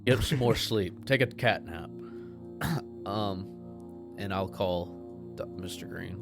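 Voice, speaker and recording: A man's voice: sits low, slow, vocal fry. A man talking casually as a player in a tabletop roleplaying game. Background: background music.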